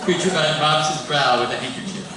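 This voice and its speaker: High-voiced man narrating in an echoey room. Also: fairly quick, crowd noise. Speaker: narrator reading stage directions. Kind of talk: scripted narration at a live table read. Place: large live event room, distant mic. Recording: very echoey, distant, noisy live room.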